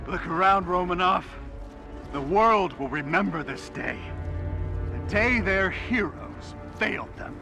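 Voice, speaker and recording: An actor playing a smug, menacing villain who taunts in a video game cutscene. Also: male voice, sits high, expressive, measured pace, over music.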